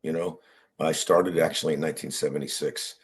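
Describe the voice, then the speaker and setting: An older man with an extremely low, deep voice talks easily about his work. He has a head cold and keeps clearing his throat as he goes. He is from New Jersey and is in his sixties. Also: fairly even intonation, a little fast. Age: 60s. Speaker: business owner guest. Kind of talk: casual interview talk.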